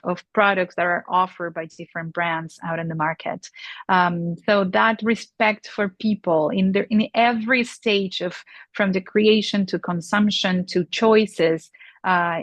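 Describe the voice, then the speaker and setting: A woman in her forties from Peru, answering interview questions in a halting way with many fillers and restarts. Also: medium pitch, no echo. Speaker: luxury industry guest. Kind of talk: conversational interview.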